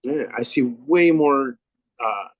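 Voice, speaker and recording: A man's voice: medium pitch, takes it slowly, dry recording. A man hosting a casual music interview, laid-back, asking questions and laughing easily. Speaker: podcast host.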